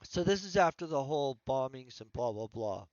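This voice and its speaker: Male author, congested voice, casual rambling stream talk, mid pitch, dull dry recording. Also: expressive, moderate pace, no echo. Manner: rambling, thinking aloud with restarts. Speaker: author streaming his writing session. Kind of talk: casual livestream chat.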